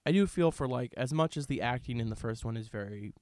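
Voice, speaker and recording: Measured man chatting on a dry-sounding podcast. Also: mid-range voice, expressive, a little mellow, dry recording. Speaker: podcast co-host reviewing films. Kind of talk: casual film discussion.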